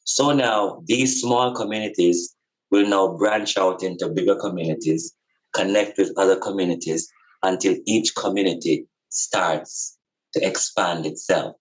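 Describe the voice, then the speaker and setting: Low-pitched man, earnest and emphatic. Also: rough recording. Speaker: podcast guest speaker on spirituality and community. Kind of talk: casual reasoning, reflective spiritual talk.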